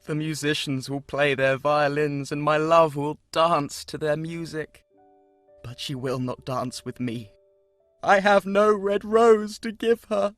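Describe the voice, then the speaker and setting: A man's voice: fairly high pitch, takes it slowly, fairly bright tone, no room sound, soft background music, voice pushed up. A man voicing a lovesick young student who laments earnestly and pities himself in a storybook tale. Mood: self-pitying. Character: lovesick, self-pitying young male student.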